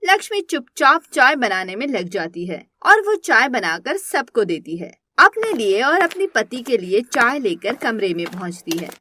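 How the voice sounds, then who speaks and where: Bright, animated woman storyteller. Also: fairly high pitch, unhurried, few pauses, slight background noise. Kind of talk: scripted story narration.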